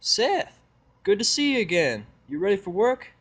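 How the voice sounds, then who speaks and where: A man's voice: high-pitched, big pitch swings, normal speed, fairly bright tone, husky, pitched above the performer's own voice, exaggerated voice. A man voicing a friendly, sly country mare who runs a farm, in a folksy audio drama style. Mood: cheerful. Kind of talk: fan fiction audio drama acting.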